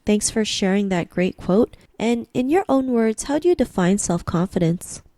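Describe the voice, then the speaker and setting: A woman's voice: medium pitch, animated, normal speed, dark tone, no room sound. A woman hosting an interview podcast, upbeat and chatty, often saying "you know" and "right". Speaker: podcast host. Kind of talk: casual interview chat.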